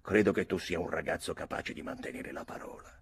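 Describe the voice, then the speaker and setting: A man's voice: low-pitched, brisk, breathy edge. A man reading an audiobook, voicing a worldly, persuasive older army captain giving advice. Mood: coaxing. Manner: coaxing, advising.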